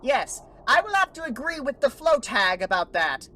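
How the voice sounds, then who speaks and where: Woman as a high-voiced, imperious little commander. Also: very animated, moderate pace, background noise. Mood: haughty. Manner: haughty, bossy, pompous. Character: imperious, insulting commander barking orders. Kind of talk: fandub cartoon voice acting.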